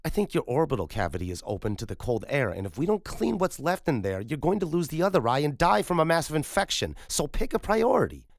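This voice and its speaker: A man voicing a blunt, higher-pitched woman paramedic. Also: very animated, quick pace, creaky, few pauses, dry recording, studio quality. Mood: blunt, insistent. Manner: blunt, urgent, clipped. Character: sharp-tongued, brisk, sarcastic woman paramedic.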